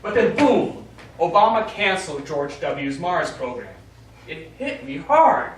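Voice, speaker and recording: An actor playing an eager, dreamy American astronaut in a stage play, with a dramatic and emphatic delivery. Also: male voice, on the high side, big pitch swings, a little slow. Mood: wistful, excited. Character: eager American male astronaut, dreamy, joking mission leader. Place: small studio theatre, recorded from afar. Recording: very echoey, noisy, distant stage recording.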